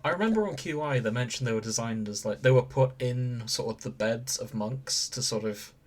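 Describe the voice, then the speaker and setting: A British man with a medium-pitched voice chats as a podcast co-host. He riffs jokily, swears freely and drifts off into tangents in a casual, conversational way. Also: brisk, a little hiss or hum. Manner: sweary, jokey, given to tangents. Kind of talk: casual comic banter.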